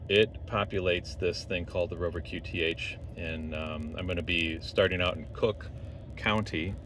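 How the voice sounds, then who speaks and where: Male hobbyist demonstrator, very deep register, informal off-the-cuff explaining, recorded with plain vehicle noise. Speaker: amateur radio operator demonstrating contest setup. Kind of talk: casual unscripted tutorial, thinking aloud. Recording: noisy vehicle interior, clear background noise.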